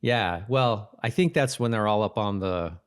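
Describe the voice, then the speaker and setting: A man's voice: lowish voice, measured pace, smooth. An American man co-hosting a podcast, chatting casually and recapping in loose, informal, trailing phrases. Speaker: podcast co-host. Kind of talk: casual chat recapping a TV episode.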